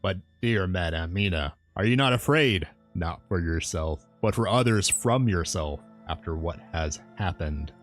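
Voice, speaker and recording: A man's voice: low-pitched, animated, unhurried, few pauses, soft background music. A man reading aloud and voicing a solemn elderly professor in a storybook, with formal, old-fashioned speech. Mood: solemn pleading. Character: solemn elderly male professor, Van Helsing.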